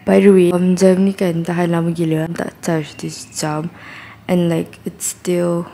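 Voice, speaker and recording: A woman's voice: fairly low pitch, flat delivery, unhurried, mellow, slight background noise. A young adult woman with a Malaysian accent, chatting casually to her camera in a vlog. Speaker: vlogger. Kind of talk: casual vlog chat mixing Malay and English. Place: large wood-panelled resort house, indoors.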